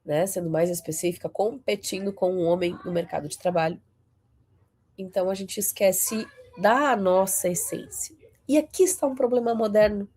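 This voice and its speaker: A woman's voice: medium pitch, normal speed, mellow, faint music behind. A woman, giving a conversational talk to fellow Christians, often tagging her sentences with a questioning "né?" and leaning into emphatic rises. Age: 40s.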